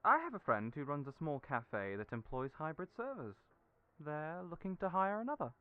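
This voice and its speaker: Fussy doting hairdresser, a man doing it, lively swooping delivery, smooth. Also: on the high side, normal speed, phone-quality sound. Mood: persuasive. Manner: fussy, doting, calls people dearie. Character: chatty flamboyant male hairdresser friend. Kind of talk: audio roleplay acting.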